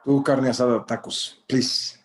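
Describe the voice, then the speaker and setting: A man's voice: mid-range voice, little pitch movement, moderate pace, a little hiss or hum, lightly acted. A man playing a proud, evasive old rancher father in a screenplay table read, hesitant and slipping between Spanish and English. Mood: dry, questioning. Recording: roomy, echoey home recording.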